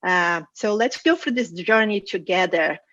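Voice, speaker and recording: A woman's voice: on the low side, normal speed, a bit bright, dry recording, highs cut off. A woman from Brazil with a Brazilian Portuguese accent gives an informal conference presentation in a conversational, somewhat hesitant way. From Rio de Janeiro, Brazil. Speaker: conference speaker, data science manager.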